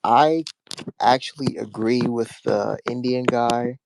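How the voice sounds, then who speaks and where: Gravelly, calm male caller. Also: medium pitch, slow, noisy background. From United States. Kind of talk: phone-in conversation.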